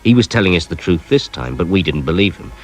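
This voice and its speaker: Weary, wry British police inspector. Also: male voice, deep voice, lively intonation, quick pace, faint music behind, low-quality recording. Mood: regretful. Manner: dry, curt, world-weary. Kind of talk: British radio drama acting, with narration.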